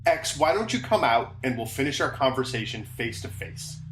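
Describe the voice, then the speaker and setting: A woman's voice: very deep voice, sing-song, moderate pace. A woman playing a stern, unyielding corporate security officer in a science fiction audio drama, curt and commanding. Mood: controlled. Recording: noisy, echoing room sound.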